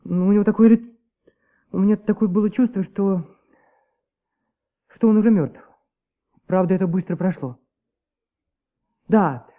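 High-voiced, hesitant schoolboy. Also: male voice, unhurried, long pauses, phone-quality sound. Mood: uneasy, halting. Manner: hesitant, stammering, repeating himself. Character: nervous young choirboy giving testimony, hesitant and earnest. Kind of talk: Soviet radio play acting.

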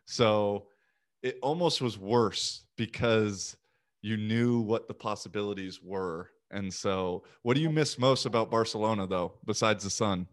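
Low-voiced man chatting casually. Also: unhurried. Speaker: youth sports coach and language learner. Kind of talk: casual conversation.

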